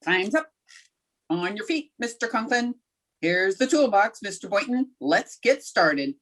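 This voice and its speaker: A woman playing a quick-witted, wisecracking schoolteacher in an old-time radio comedy style. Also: medium pitch, sing-song. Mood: wry, brisk. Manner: dry, wisecracking.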